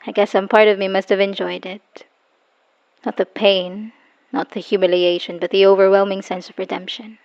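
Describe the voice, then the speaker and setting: A slightly muffled, lo-fi recording of an actress playing a woman worn down by an abusive, obsessive partner. Her voice sits at an average pitch for a woman. She delivers a dramatic audio roleplay monologue, wounded and bitter, with emotional, halting phrasing. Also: level tone, darkish tone, lightly acted. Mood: sad, resigned. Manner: emotional, halting, sighing, dropping into whispers. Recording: dull lo-fi voice recording.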